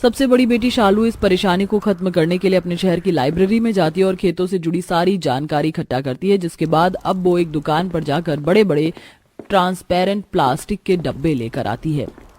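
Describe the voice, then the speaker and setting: A woman's voice: lowish voice, brisk, darkish tone, slight background noise. A woman narrating a scripted moral story as its storyteller.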